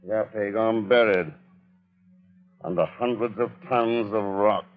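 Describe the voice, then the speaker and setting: An actor playing a dry, commanding secret agent with wry, clipped delivery in a radio drama. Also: male voice, lowish voice, steady tone, slow pace. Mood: wry, cool. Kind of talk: 1940s radio acting. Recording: dull old radio recording, music behind.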